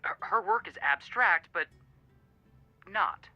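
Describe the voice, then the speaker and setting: An actor playing an earnest, excitable male detective friend with a punning streak in a video game, dramatic, heard through a phone filter. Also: high voice, takes it slowly, no echo, dull audio, faint music behind. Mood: earnest, enthusiastic.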